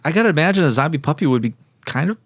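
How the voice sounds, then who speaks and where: A man's voice: fairly high pitch, lively intonation, very fast, no room sound. A man co-hosting a podcast, recapping stories in casual comedic banter with swearing and frequent laughter. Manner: profane, joking, laughs often. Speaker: podcast co-host.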